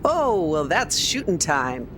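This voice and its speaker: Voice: mid-range voice, sing-song, slow. A tabletop game player chatting casually at the game, calling out dice results and reactions. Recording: noisy recording with clear background noise.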